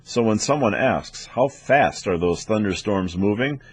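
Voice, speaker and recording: A man's voice: fairly low pitch, expressive, measured pace, breathy edge. A man reading scripted training narration as an instructor, in a methodical, explanatory manner. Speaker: weather instructor for air traffic controllers.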